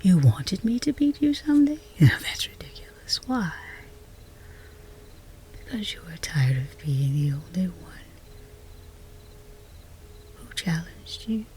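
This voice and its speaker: A woman playing a flustered, lovestruck chess rival in a romance roleplay ASMR, whispery and intimate, with halting, teasing delivery. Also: fairly low pitch, animated, mellow, a little hiss or hum. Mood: puzzled, probing. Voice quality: breathy, whispery.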